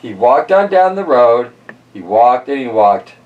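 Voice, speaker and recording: Animated male storybook reader, slightly distant. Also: medium pitch, unhurried, highs cut off. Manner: expressive, informal, chatty. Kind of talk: storybook narration with casual asides.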